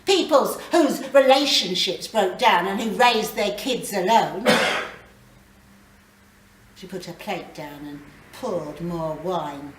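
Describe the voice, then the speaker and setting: A British woman reading a short story aloud in a dramatic, deliberate way. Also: lowish voice. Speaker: story reader. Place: live reading in a library. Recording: roomy, distant mic, faint background noise.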